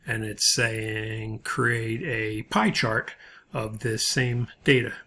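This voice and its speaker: A man giving an informal, step-by-step software tutorial as an instructor, thinking aloud as he goes. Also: lowish voice, flat delivery, slow pace, slight background noise.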